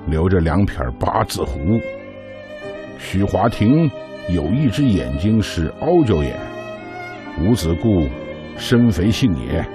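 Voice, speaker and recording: An elderly storyteller in a radio drama. His voice is deep and slow, amused as he reminisces, with string music behind him. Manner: unhurried, amused, chuckling storyteller. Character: old man reminiscing about Red Army days.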